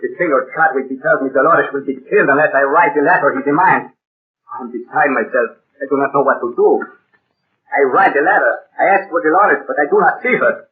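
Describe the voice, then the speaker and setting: An actor playing a proud, sorrowful old rancher with a Spanish accent and formal, broken English in a 1930s radio drama. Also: male voice, on the high side, brisk, close to an everyday voice. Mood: anguished. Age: older. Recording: tinny, band-limited 1930s radio recording.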